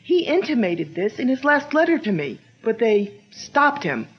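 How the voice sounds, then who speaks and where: Woman, earnest young sleuth, 1940s radio drama, animated pitch, lo-fi old radio sound. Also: moderate pace. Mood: earnest, urgent. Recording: dull lo-fi old radio recording.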